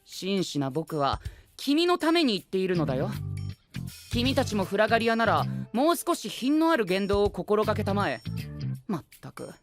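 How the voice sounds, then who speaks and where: A male actor playing a pompous, rule-obsessed young gentleman knight in an anime audio drama, speaking formally and peppering his lines with English exclamations. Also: high-pitched, huge pitch swings, normal speed. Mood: insistent, pompous. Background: background music.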